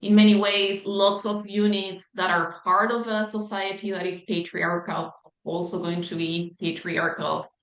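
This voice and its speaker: A woman's voice: mid-range voice, little pitch movement, a little slow, fairly bright tone, roomy sound, rough recording. A woman from Chile interviewing an author, thinking aloud and checking in with "right?" as she shapes her questions. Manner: thoughtful, conversational, asks with "right?" tags.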